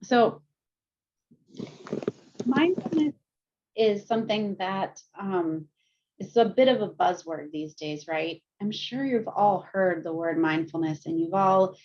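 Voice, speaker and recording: A woman's voice: mid-range voice, slow, long pauses, darkish tone, lo-fi sound. A woman teaching mindfulness to a group on a video call, chatty and self-deprecating, laughing often and speeding up when she's nervous. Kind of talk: guiding a group session over video.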